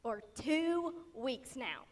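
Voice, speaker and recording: Sassy young storybook heroine female actor for stage comedy: high voice, slow, stubborn delivery. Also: big pitch swings, heavily acted. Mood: accusatory. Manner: sassy, accusatory. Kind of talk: stage comedy fairy-tale acting.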